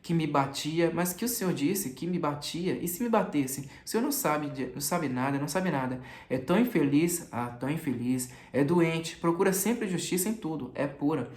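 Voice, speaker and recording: A man's voice: a little high, animated, moderate pace, few pauses, darkish tone, lightly acted. A man voicing a timid, anxious, compassionate young woman in an audiobook, hesitant and repeating words. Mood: pleading, distressed. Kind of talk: audiobook character reading. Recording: echoey room.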